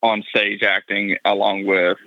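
Deep-voiced joking guest on a muffled line. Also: male voice, level tone, a little slow, no echo. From Tennessee. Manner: joking, rambling storyteller. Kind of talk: casual chat on a video call.

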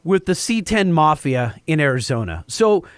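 A podcast host with a markedly high voice who fires off curious, blunt questions. He keeps the tone casual and pushes for answers. The sound is close and dry. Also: big pitch swings, moderate pace, smooth, dry recording.

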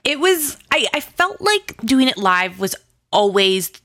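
A woman's voice: on the high side, sing-song, a little slow, bright, forceful tone, dry recording, clean studio sound. A woman speaking casually as an interview guest, in informal, hesitant phrasing peppered with "like". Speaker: guest, audio drama co-creator and writer.

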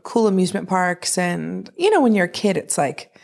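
A woman's voice: fairly low pitch, very animated, moderate pace, vocal fry, a little mellow. A woman speaking as a guest in a casual, conversational interview, reminiscing in an informal way.

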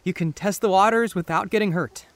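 A man's voice: high-pitched, brisk, dry recording. A man playing a guarded, defensive but sensitive teenage boy in a naturalistic audio drama, with hesitant delivery. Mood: defensive, hurt.

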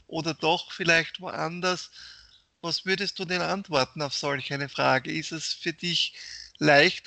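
A man interviewing a guest, his voice very bright and sharp and recorded dry and close. He sits slightly high in his range and builds his questions in a measured, formal way. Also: vocal fry, dry recording.

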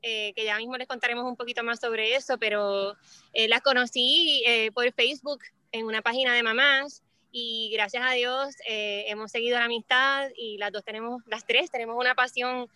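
A woman's voice: a little high, steady tone, normal speed, sharp tone, clear, resonant tone, no room sound. A woman with a Puerto Rican accent chatting casually as an interview guest. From Puerto Rico. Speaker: interview guest, lawyer and mother. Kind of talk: casual chat. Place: outdoors by the beach.

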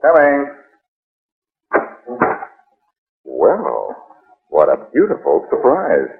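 An actor playing a glib, wisecracking, flirtatious private detective in 1940s radio style. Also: male voice, medium pitch, huge pitch swings, takes it slowly, creaky, noisy background, exaggerated voice. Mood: smooth teasing. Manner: glib, teasing banter. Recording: muffled, lo-fi 1940s radio recording.

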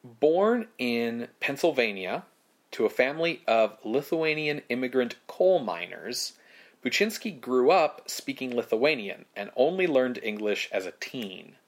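A man co-hosting a casual film history podcast, reading prepared facts in a relaxed way. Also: medium pitch, a little slow. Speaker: podcast co-host.

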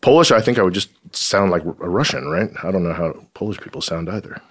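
A comedy writer chatting casually on a podcast, rambling and laughing often between phrases. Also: male voice, deep voice, fast talker. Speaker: comedy writer and performer guest.

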